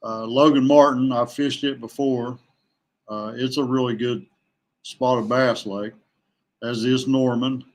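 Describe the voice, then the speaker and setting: Halting man chatting casually. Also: mid-range voice, fairly even intonation. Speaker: guest, veteran kayak angler. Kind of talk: casual podcast chat.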